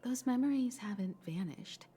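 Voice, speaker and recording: A woman voicing a calm, thoughtful, reassuring woman in a video game, speaking in a gentle, measured way. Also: fairly high pitch, a little hiss or hum, close to an everyday voice. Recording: music under the voice.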